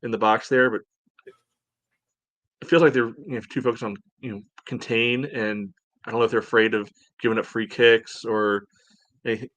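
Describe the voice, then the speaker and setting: A man's voice: mid-range voice, steady tone, moderate pace, pauses often, fairly bright tone, dry recording. A man co-hosting a podcast, discussing soccer casually and tentatively, often padding his points with filler phrases. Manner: hedging, conversational, frequent "you know". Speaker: podcast co-host, soccer fan. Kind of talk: casual sports analysis chat.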